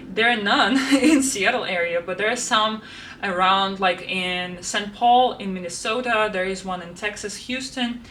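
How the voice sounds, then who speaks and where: A young woman talks through her plans in a loose, chatty way, circling back with "like" and "you know." Her voice is bright and sharp, picked up from a little distance. Faint background noise sits under it. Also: medium pitch, measured pace. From Russia. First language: Russian. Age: 20s. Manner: chatty, many fillers and restarts. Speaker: vlogger. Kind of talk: casual planner walkthrough. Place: home room.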